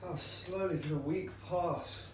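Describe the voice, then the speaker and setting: An actor playing an anxious gentleman of Regency England in a staged theatre reading, formal and earnest. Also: high voice, unhurried. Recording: very echoey theatre, distant noisy mic.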